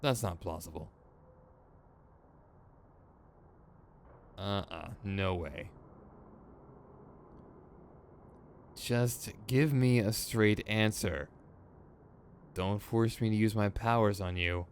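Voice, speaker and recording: A man's voice: lowish voice, measured pace, slight background noise. A man playing a theatrical, sardonic supervillain in a scripted ASMR roleplay, exasperated and smug, with mock menace and a grumbling, rambling streak. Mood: stern, disbelieving.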